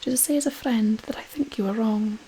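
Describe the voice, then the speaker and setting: A woman voicing a sly devil posing as a polite gentleman minister in a poem, softly and whispery. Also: a little high, fairly quick, a little hiss or hum, natural voice, poor audio. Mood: smooth, polite. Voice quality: soft, whispery. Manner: polite, pleasant, insinuating. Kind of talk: storybook-style dialect poem reading.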